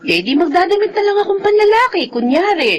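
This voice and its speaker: A woman with a Filipino accent playing a tender, soft-spoken single mother soothing a small child in a radio drama. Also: extremely high pitch, big pitch swings, fairly quick.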